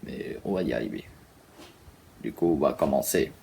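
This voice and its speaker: A man's voice: extremely low pitch, moderate pace, creaky, very noisy. A man narrating his video hesitantly, with frequent fillers and broken sentences. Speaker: vlogger.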